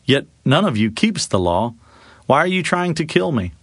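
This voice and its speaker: A man's voice: a little high, sing-song, moderate pace, fairly bright tone, husky, no echo, exaggerated voice. Man voices earnest male preacher-teacher for audio scripture, emphatic and declarative, dry close sound. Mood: firm, earnest.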